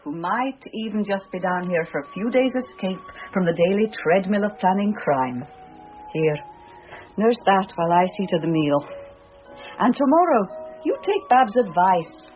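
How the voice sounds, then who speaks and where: A woman playing a policeman's wry, teasing wife in a radio drama, composed and gently mocking. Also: mid-range voice, animated, a little slow, dull audio, natural voice. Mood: teasing, soothing. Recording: band-limited radio drama, faint music.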